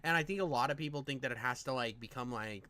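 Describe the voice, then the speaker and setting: A man giving casual, excitable reaction commentary in slangy, animated speech, often repeating himself. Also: mid-range voice, quick pace.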